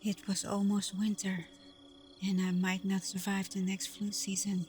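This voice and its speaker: A woman playing a tender, protective vampire girlfriend in an intimate ASMR roleplay, speaking softly and breathily with a hesitant, reassuring manner. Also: medium pitch, completely flat delivery, a little slow, soft background music, close to an everyday voice. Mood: wistful, reflective. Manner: soft, breathy, hesitant, reassuring, often dropping toward a whisper. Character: tender, protective young female vampire girlfriend, secretive and self-doubting.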